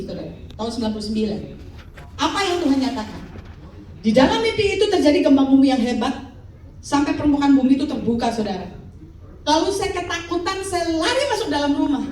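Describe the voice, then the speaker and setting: A woman in her fifties preaching a lively sermon, calling out to her congregation for responses. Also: high voice. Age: 50s. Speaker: pastor. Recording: echoey hall, mic from far off.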